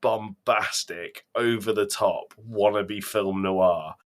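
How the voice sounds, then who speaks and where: A man with a fairly low voice co-hosts a casual podcast. He talks in an enthusiastic, emphatic way, as if among friends. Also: fairly even intonation, slow. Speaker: podcast co-host. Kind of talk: casual chat.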